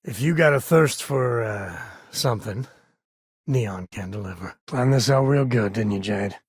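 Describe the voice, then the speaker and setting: A man's voice: medium pitch, unhurried, breathy edge, no room sound, clean studio sound. An actor playing an easygoing, wry space-frontier ranger and protective father in a video game, with folksy, informal speech. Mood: reflective.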